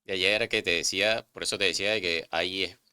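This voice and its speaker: A male podcast host with a very deep voice that still sounds bright and crisp. He talks conversationally and puts questions to his guest. The sound is dry and close, with no room echo. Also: fairly even intonation, fast. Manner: conversational, poses questions, some restarts. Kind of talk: interview conversation.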